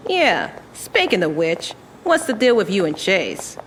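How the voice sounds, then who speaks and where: A woman playing a brash, sarcastic hacker in a heist crew for an animated audio drama. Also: medium pitch, unhurried, a little hiss or hum, low-quality recording. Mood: exasperated. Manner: sarcastic, brash, rattles off jargon. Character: cocky, snappy young female hacker in a heist crew.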